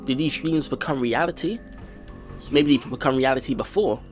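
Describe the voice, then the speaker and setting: A man's voice: mid-range voice, moderate pace, soft background music. A man hosting a video game let's play, commenting to viewers and reading story text aloud.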